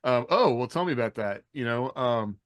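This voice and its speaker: A man's voice: medium pitch, animated, moderate pace, no echo, muffled recording. Dry-sounding American man chatting casually. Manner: rambling, anecdotal, frequent "like". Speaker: podcast co-host. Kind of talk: casual chat about military life and fiction.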